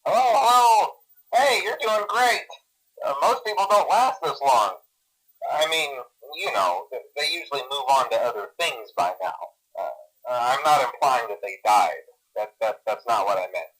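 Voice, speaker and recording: A man's voice: mid-range voice, animated, moderate pace, a bit bright, poor audio. An actor playing a nervous, chipper male company employee who leaves rambling, stammering, awkwardly reassuring training messages through a telephone filter. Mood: nervous backpedaling. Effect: telephone voicemail filter. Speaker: phone guide to a night watchman. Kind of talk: recorded phone training message.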